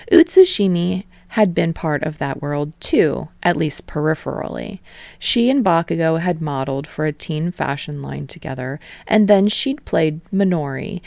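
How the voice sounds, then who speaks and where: Woman, fan fiction audiobook narrator, steady storytelling, gravelly, dry close recording. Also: sits low, no room sound. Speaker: podfic reader.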